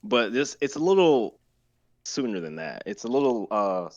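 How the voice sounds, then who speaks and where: A man's voice: medium pitch, sing-song, moderate pace, dull audio. A man in his twenties chatting casually on a group livestream, explaining things in a rambling, circling way. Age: 20s. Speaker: writer and performer on a group livestream. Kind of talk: casual chat.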